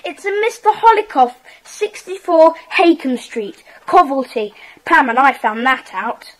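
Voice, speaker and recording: Eager, very high-voiced child detective. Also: female voice, lively intonation, unhurried, rough recording. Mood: earnest, helpful. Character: excited eager schoolchild detective, keen and polite. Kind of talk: children's audiobook dramatisation.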